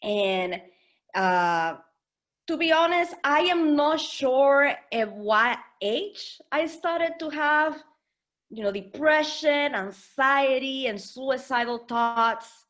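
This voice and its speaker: A woman from the Dominican Republic speaks at a slow, unhurried pace, in a fairly high voice. She pauses often and searches for her words as she tells her own story. She is recorded over a video call. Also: lively intonation, fairly bright tone. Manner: hesitant, reflective, many fillers. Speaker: guest speaker, founder. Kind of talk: personal testimony at a webinar.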